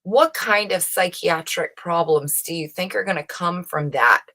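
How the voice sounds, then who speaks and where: Woman, low pitch, slow pace, emphatic opinionated delivery, casual research commentary. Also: sharp tone. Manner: opinionated, emphatic, rhetorical questioning. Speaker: host reading and commenting on research papers.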